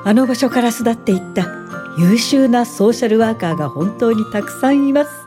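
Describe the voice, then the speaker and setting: An actress playing a kindly, dignified elderly woman professor in a radio drama, speaking in a gentle, measured, instructive way. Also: on the high side, lively intonation, over music, close to an everyday voice. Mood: reflective. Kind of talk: Japanese radio drama acting and narration.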